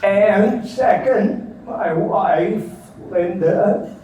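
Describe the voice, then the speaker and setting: A man interviewing a guest at a church gathering, slowly introducing people to the audience in a large meeting room. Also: sits high, dark tone. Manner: slow, deliberate speaker addressing a room. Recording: echoey room from far off, faint noise.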